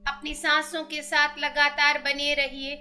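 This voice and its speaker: An Indian woman leading a guided meditation in a measured, devotional manner with long pauses. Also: high-pitched, little pitch movement. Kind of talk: guided meditation, slow instructions and invocations. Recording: meditation music with singing bowls behind. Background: soft music and singing bowl tones.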